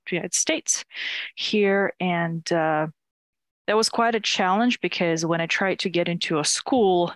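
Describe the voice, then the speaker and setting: A woman's voice: on the low side, no room sound. A woman in her twenties from Ukraine, a dancer answering interview questions in a candid way, laughing often and restarting her sentences. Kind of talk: casual interview.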